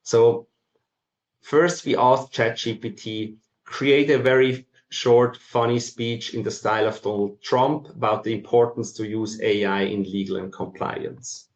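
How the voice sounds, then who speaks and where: A man with a Swiss accent giving an explanatory presentation in a halting way, with frequent ums. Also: mid-range voice, steady tone, highs cut off. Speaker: masterclass presenter. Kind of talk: explanatory conference presentation for non-technical listeners. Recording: roomy, echoing sound.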